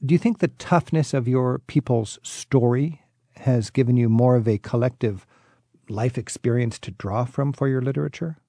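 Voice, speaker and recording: A male host with a mellow, gravelly voice asks eager, curious questions. The recording is dry and close, with no room sound. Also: medium pitch, normal speed, no room sound. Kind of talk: travel interview.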